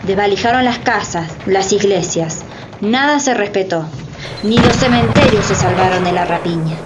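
A woman's voice: mid-range voice, normal speed. A woman giving solemn, scripted narration for a radio drama. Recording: clear background noise.

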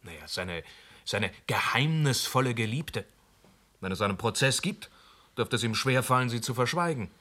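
An actor playing a matter-of-fact police inspector in a radio play. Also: male voice, mid-range voice, expressive, moderate pace, sharp tone. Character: loyal male police inspector, assistant.

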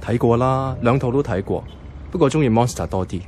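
A man's voice: mid-range voice, a little fast, a little mellow, a little hiss or hum, lightly acted. A man playing an easygoing young office worker in a radio drama, speaking and narrating in Hong Kong Cantonese. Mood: friendly, casual. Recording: music behind the voice.

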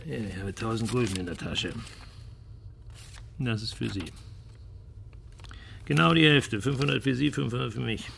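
Ruined businessman, sardonic and lecturing, creaky forceful voice, radio drama with some noise. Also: medium pitch, moderate pace, pauses often. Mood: forceful, cynical. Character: bankrupt businessman, bitter, cynical, talking down to an employee. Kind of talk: German radio play acting.

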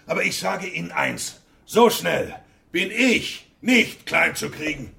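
A man's voice: a little high, animated, takes it slowly, vocal fry, sharp tone, exaggerated voice. An actor playing an imperious middle-aged businessman in a radio crime drama, pompous, indignant and demanding. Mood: outraged, defiant.

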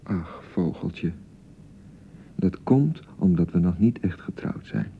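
Jealous blustery young husband in a radio play, swoopy gravelly voice, thin phone-like sound. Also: male voice, deep voice, animated, takes it slowly, slight background noise, heavily acted. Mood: awkward, coaxing. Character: newlywed young husband, jealous, blustering, then awkwardly placating. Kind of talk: radio drama acting in Dutch. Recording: thin, band-limited 1990 radio broadcast.

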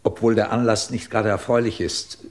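An actor playing a proud, blunt head of a research institute in a 1950s German radio drama, with a husky voice and formal, emotional delivery. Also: male voice, fairly low pitch, flat delivery, a little fast, natural voice. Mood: formal, courteous.

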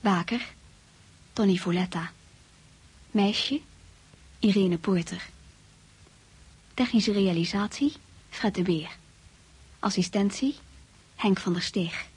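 A woman announces the credits of a radio play from a script. Her voice is of medium pitch and very husky. She reads slowly, name by name. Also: darkish tone, poor audio.

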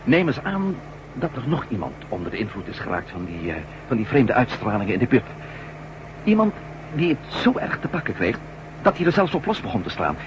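An impulsive male reporter-adventurer played by an actor in a radio play. The pitch swings in a lively way, and the old recording is dull with clear background noise. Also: brisk, close to an everyday voice. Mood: thoughtful. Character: impulsive, decisive ex-astronaut reporter turned adventurer. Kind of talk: radio drama acting.

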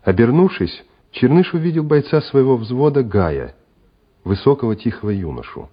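A man's voice: medium pitch, sing-song, normal speed, slightly rough. A man reading scripted dramatic narration as the narrator of a radio play. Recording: muffled old radio recording. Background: music.

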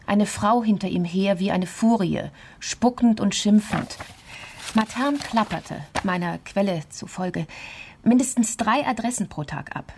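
A woman's voice: medium pitch, a little mellow, husky. An actress in a crime radio play voicing a composed, determined investigator, matter-of-fact and clipped as she reports a case.

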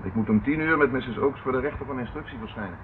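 Actor as a dry police inspector, muffled recording. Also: male voice, medium pitch, close to an everyday voice. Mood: musing. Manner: dry, sardonic, measured. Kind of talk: Dutch radio play acting. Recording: muffled, hissy old radio recording.

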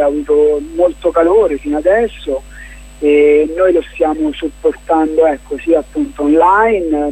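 Husky, higher-pitched man, rambling. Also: a little mellow, rough recording. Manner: hesitant, rambling, filler-heavy explanations. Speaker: playwright and documentary filmmaker, interview guest. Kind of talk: casual radio interview, recounting projects.

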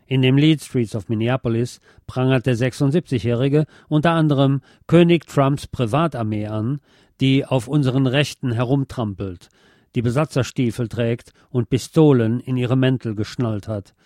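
A man's voice: mid-range voice, normal speed, darkish tone, no room sound, studio quality. A man reading a scripted political and music commentary as a presenter.

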